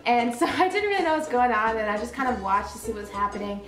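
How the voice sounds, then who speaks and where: A woman's voice: fairly high pitch, lively intonation, quick pace, echoey room, music in the background. A woman casually recounting a personal story in a hesitant, rambling, conversational way.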